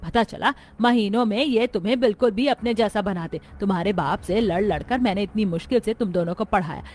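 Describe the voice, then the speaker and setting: A woman's voice: medium pitch, a little fast, darkish tone, lightly acted. A woman voicing a disapproving, scolding older mother in a cartoon story. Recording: music bed under voice.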